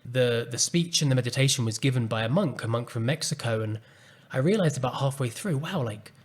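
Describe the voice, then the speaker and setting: A man hosting a solo podcast, speaking calmly and earnestly as he reflects on a personal story. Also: medium pitch, a little fast, husky. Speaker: podcast host and coach.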